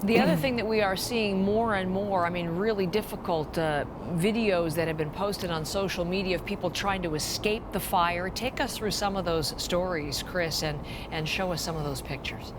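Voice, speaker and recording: Female news anchor, lowish pitch, grave measured delivery, live broadcast style, noticeable background noise.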